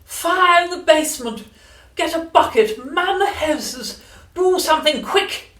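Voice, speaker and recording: A storybook narrator voicing a cranky, furious old grandmother who yells and screeches. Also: mid-range voice, very animated, drawn-out words, distant mic, pitched above the performer's own voice, heavily acted. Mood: frantic, furious. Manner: yelling, screeching, exclamatory. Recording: roomy, echoey, away from the mic.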